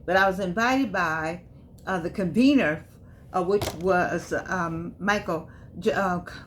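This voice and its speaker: A woman's voice: lowish voice, measured pace, a bit bright, a little hiss or hum. An older woman talking casually to camera. She rambles and returns to key lines with emphasis. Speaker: vlogger. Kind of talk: casual spoken monologue.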